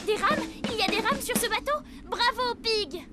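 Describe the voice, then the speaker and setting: Une comédienne qui joue une jeune écolière ninja fougueuse et sarcastique de dessin animé français, à la langue bien pendue. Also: female voice, extremely high pitch, moderate pace. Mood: eager. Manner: sharp-tongued, ironic. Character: feisty, sarcastic young ninja schoolgirl. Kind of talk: French cartoon dubbing. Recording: loud music under the voice.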